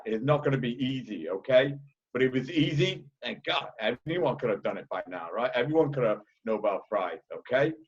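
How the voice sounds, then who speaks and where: Man, Liverpool-tinged British accent, loud, blunt, repetitive, mid-pitched, lo-fi sound. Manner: loud, blunt, repeats "okay?" often. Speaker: conference speaker. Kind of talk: heated panel talk.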